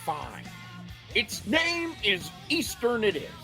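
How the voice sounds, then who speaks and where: A man acts out a fervent wrestling promoter in an audio play. He speechifies grandly in a lively, creaky voice, with faint music underneath. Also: very high voice, slow, heavily acted. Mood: grudging, then eager. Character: fervent, defiant male wrestling promoter rallying his crew.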